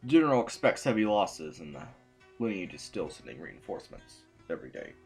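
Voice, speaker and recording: A man's voice: low-pitched, big pitch swings, fairly quick, gravelly, darkish tone, faint music behind, exaggerated voice. A man playing a stern, embattled sovereign in an audio drama, speaking in a formal, weary, imperious way. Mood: businesslike.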